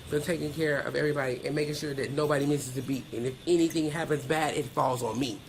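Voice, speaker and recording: A woman's voice: extremely low pitch, fairly even intonation, fast talker, a little hiss or hum. A middle-aged woman giving a candid interview as a podcast guest, speaking in a blunt, emphatic, plain-spoken way.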